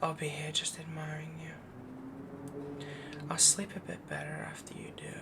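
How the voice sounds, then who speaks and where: A man voicing a doting, possessive young wolf boy mate in a soft, intimate, breathy whisper for an ASMR boyfriend roleplay. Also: a little high, completely flat delivery, very noisy. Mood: soothing. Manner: slow, intimate, cooing, rambling devotion.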